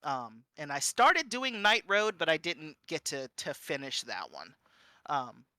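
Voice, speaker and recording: A man giving an informal panel talk and answering questions in a conversational, halting, self-correcting way. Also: fairly high pitch, big pitch swings, bright, forceful tone, dry recording, studio quality. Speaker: panel speaker and streamer.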